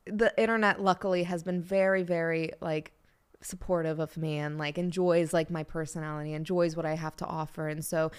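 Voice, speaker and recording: A young woman from Pittsburgh talks as a guest on a casual podcast. Her voice is smooth and clear. She chats in a bubbly way and fills her sentences with "like" and "you know". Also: low voice, fairly even intonation, fairly quick. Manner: bubbly, lots of fillers. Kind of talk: casual chat.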